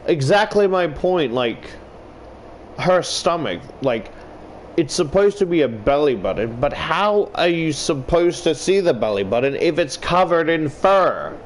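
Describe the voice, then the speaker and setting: A man giving casual, rambling game commentary, halting and swearing freely as he talks. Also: a little high. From Australia. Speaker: YouTuber playing and reading a visual novel. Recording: clear steady air-conditioner background noise.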